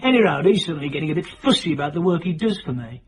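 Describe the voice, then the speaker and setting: Cocky self-made British man, middle-aged, rough-edged husky tone, lively intonation, clipped sardonic phrasing, radio drama. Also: fairly high pitch, rapid-fire. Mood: sly, scheming. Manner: brash, clipped, sardonic colloquial talk. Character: hard, cocky, self-made working-class British man, unsentimental schemer.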